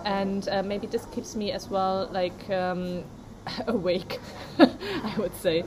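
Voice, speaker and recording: A woman co-hosting a casual motivational podcast, speaking in halting English with non-native phrasing and many fillers. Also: medium pitch, crowd noise, low-quality recording. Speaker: podcast co-host.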